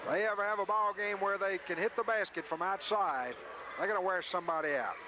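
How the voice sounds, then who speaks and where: A man's voice: high-pitched, fairly quick. A man doing live radio basketball play-by-play in short, clipped phrases, on an old 1980s recording made in a school gym. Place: school gymnasium during a game. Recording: noisy 1980s radio audio from VHS.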